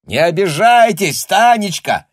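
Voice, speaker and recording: A man's voice: mid-range voice, big pitch swings, creaky, slightly rough, no echo, clean studio sound, pitched above the performer's own voice, full character voice. Man acting a blustering, hot-tempered shopkeeper. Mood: impatient shouting. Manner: blustering, quick to shout, colloquial. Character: agitated shopkeeper, hustler with colloquial southern turns of speech.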